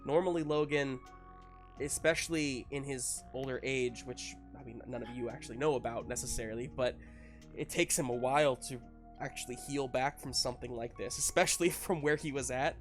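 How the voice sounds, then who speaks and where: A game master improvising the narration of a tabletop game in a halting, searching way. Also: medium pitch, steady tone, soft background music.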